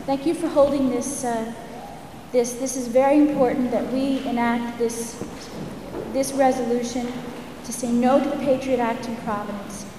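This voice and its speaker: A librarian, a woman with a naturally soft voice pushing herself to speak louder, gives an earnest, firm public comment at a hearing. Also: a little high, steady tone, normal speed. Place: large public meeting hall. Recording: echoey hall with room noise.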